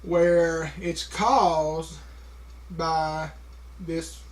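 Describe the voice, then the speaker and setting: A man's voice: fairly high pitch, little pitch movement. A man talking casually to a camera, with a heavy stutter, repeating sounds and stopping on words, with many fillers. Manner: slow, halting, many fillers. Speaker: vlogger.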